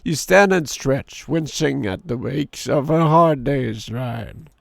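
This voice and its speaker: Hoarse gravelly man in his thirties. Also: animated, slow, dry recording, studio quality. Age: 30s. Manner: drops into a deep storyteller voice for the narration. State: hoarse, sore throat. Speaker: streamer. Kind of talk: live game playthrough, reading story text aloud.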